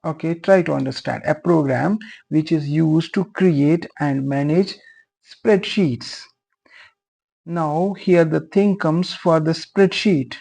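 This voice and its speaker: A man's voice: fairly high pitch, slow, vocal fry, a little mellow. A young man whose first language is Urdu, earnestly explaining a beginner's tutorial and repeating his points, with many fillers. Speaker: teacher.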